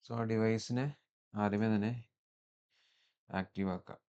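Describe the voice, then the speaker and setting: A man's voice: on the low side, little pitch movement, a little slow, darkish tone, lo-fi sound, a little hiss or hum. A man with a Malayali accent giving an unscripted, matter-of-fact technical walkthrough, one step at a time. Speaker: tutorial presenter, installer.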